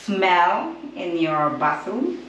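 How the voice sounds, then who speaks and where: A woman talks casually to her channel's viewers, searching for words with frequent ums and uhs. Her voice sits a little low and she speaks at a slow, unhurried pace. A steady outdoor ambience is clearly heard behind her on a camera mic. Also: noisy background. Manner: hesitant, many ums and uhs. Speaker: vlogger. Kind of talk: casual vlog talk to camera. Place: outdoors at a rural farm house.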